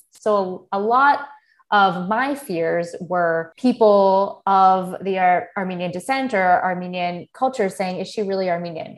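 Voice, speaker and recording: An American woman in her thirties, a podcast guest, speaking in a candid, reflective way and quoting her own thoughts. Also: fairly low pitch. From United States. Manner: earnest, candid, quotes herself in bursts. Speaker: podcast guest, former Olympic gymnast. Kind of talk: reflective interview talk.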